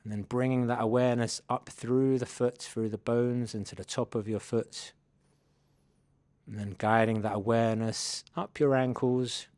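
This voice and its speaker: A man leading a guided body scan meditation, giving gentle, unhurried invitations as a mindfulness instructor. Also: mid-range voice, steady tone, no echo.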